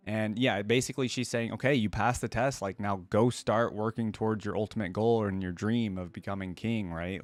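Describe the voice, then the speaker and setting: Low-voiced man, dry close recording. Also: no room sound. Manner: musing, halting, thinking aloud. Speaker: reaction video host. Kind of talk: casual reaction commentary.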